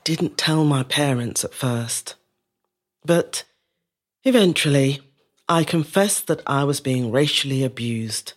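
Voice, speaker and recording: A woman playing a resilient middle-aged woman telling her life story in a measured, reflective dramatic monologue. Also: extremely low pitch, heavily acted. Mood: reflective, pained. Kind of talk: scripted dramatic monologue, first-person storytelling.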